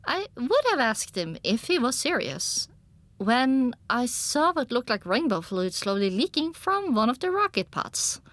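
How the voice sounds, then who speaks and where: A woman narrating a scripted first-person story for an audiobook in an expressive storytelling manner. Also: a little high, measured pace, no room sound.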